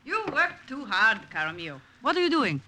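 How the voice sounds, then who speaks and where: A woman's voice: a little high, sing-song, moderate pace, over music. A woman playing a fond, fussing elderly Italian village nana with an Italian accent in an old radio drama. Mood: tender, anxious. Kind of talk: 1950s radio drama acting. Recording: old radio recording, clicks.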